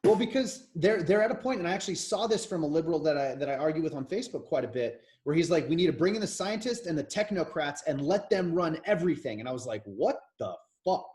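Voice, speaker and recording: A man's voice: on the high side, lively intonation, fast talker, few pauses, a little mellow. An American man in his thirties co-hosting a podcast, talking politics in an opinionated, rambling way with frequent restarts. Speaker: podcast co-host. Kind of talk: casual political chat.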